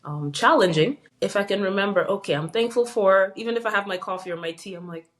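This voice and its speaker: A woman's voice: mid-range voice, quick pace. A middle-aged woman from Western Canada, a physician and podcast guest, talks in a reflective, conversational way with many fillers and restarts. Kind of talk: casual interview conversation.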